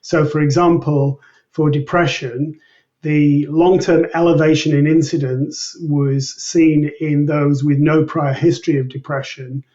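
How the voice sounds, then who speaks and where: A man explaining research findings as an interview guest in a measured, methodical manner. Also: on the high side, flat delivery, warm tone. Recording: distant mic, dull lo-fi sound.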